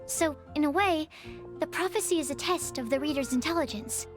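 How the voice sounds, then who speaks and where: A woman's voice: high-pitched, a little fast, close to an everyday voice. A woman playing a shy, studious young female alchemist in a video game, speaking in a hesitant, careful, analytical way. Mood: earnest, polite. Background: music.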